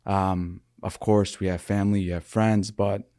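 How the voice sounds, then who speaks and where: Deep, flat-voiced man. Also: unhurried, clean tone, dry recording, clean studio sound. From Michigan, USA. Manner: earnest, thoughtful, with many restarts and fillers. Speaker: podcast guest. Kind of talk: reflective interview talk.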